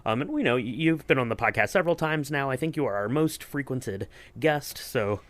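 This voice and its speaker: A man with a mid-pitched voice hosts a podcast in a dry, close recording. His questions come out casually, hesitant and rambling. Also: expressive, fairly quick, dry recording. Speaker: podcast host.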